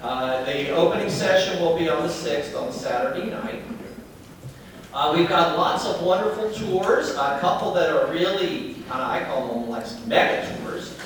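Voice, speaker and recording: A man's voice: on the high side, lively intonation, moderate pace, slight background noise. A man with a Southern US accent gives a chatty talk to a meeting, often putting questions to the audience. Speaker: advocacy group speaker. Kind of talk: informal speech to a meeting. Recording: echoey room, distant mic, crowd.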